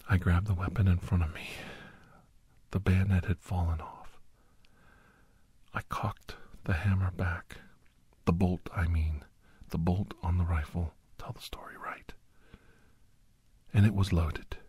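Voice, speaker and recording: A man voicing a doctor who recounts a harrowing battle in halting, intense phrases, in a breathy near-whisper, in an ASMR story. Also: on the low side, a little mellow, no room sound, exaggerated voice. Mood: urgent, dramatic. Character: doctor recounting a harrowing battle beside his young ward. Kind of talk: dramatic first-person ASMR storytelling.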